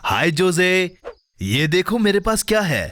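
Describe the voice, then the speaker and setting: A man's voice: high voice, very animated, a little slow, fairly bright tone, heavily acted. A man voicing a friendly, upbeat companion in a children's cartoon, speaking encouragingly in simple phrases. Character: friendly, upbeat adult companion and contest announcer.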